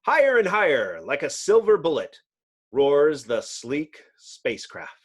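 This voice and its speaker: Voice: mid-range voice, wildly swooping pitch, unhurried, husky. A narrator giving a dramatic, theatrical scripted reading of a comic-book adventure. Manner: theatrical, storybook-like.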